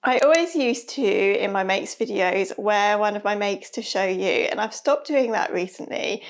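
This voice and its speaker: A woman in her thirties chats to camera in a relaxed, friendly way. Her voice has a gravelly, fry-tinged edge. She sounds a touch hoarse and nasal from a cold, and speaks at an even, middle pitch. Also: moderate pace. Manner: chatty, friendly, with soft laughs. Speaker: sewing vlogger. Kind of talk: casual chat to camera. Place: bright room with a sewing machine and shelves. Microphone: lapel mic.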